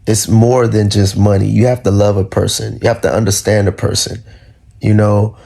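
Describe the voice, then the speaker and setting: A man's voice: fairly low pitch, little pitch movement, moderate pace, vocal fry, mellow, breathy edge. A man giving earnest, lecturing commentary to camera about love and money in relationships.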